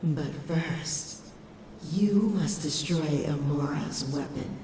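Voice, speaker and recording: A woman's voice: low-pitched, slow pace, darkish tone. A woman voicing a sinister, manipulative shadow creature in a comic dub, speaking in a coaxing, ominous manner. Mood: commanding. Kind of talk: dramatic comic dub acting. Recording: echoing hall reverb, distant, faint noise.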